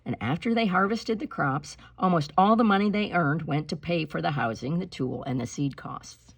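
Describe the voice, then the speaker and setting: A woman with a low, husky voice reads a storybook aloud in the manner of a teacher. Her phrasing is deliberate, expressive and instructive. The sound is close and dry, with very little room around it. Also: no room sound. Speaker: teacher reading a picture book aloud to pupils.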